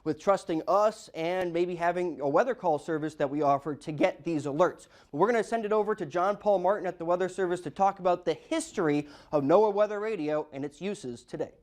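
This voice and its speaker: A man's voice: sits high, brisk, few pauses, darkish tone. A man presenting weather information in a broadcast style.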